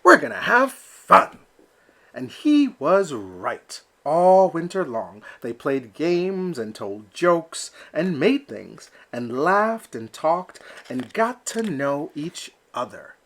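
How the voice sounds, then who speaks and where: A man reading a children's picture book aloud with slow, expressive storytelling. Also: a little high. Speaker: storybook reader. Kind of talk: children's read-aloud narration.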